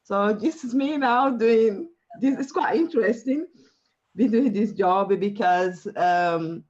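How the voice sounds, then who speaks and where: A woman from Brazil with a Brazilian Portuguese accent, whose first language is Portuguese, speaking earnestly as an interview guest with many pauses and fillers. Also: lowish voice, highs cut off. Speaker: guest, career coach. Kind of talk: faith-centered interview answers.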